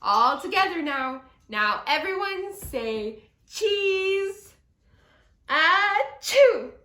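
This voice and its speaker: An eager, hopeful father moose from a children's storybook, played by a woman, high in pitch and full of pleading exclamations. The sound is roomy and echoing. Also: big pitch swings, extremely slow, bright, forceful tone, exaggerated voice. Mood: eager, bossy. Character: eager, hopeful male moose organizing a family reunion. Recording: roomy echo.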